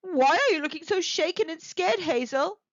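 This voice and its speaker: A kind male owl from a children's storybook, played by a woman reading aloud, reassuring and encouraging. The delivery is lively and melodic, with a dry, slightly muffled recording. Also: extremely high pitch, moderate pace, dry recording, voice pushed up. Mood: friendly concern. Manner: encouraging, explanatory.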